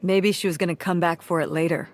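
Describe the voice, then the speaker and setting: A woman's voice: lowish voice, fairly even intonation, quick pace, soft background music, lightly acted. An actress playing a middle-aged mother in a story game, nostalgic about her college days and firm with her daughter. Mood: wry. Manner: warm nostalgic, then firm and dismissive. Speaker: game character. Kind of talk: voiced game dialogue.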